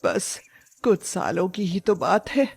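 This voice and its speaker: A woman's voice: fairly low pitch, very animated, takes it slowly, vocal fry, husky, heavily acted. A woman voicing a long-suffering widowed village mother, tender but firm and plaintive, in a cartoon story. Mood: wistful, hopeful.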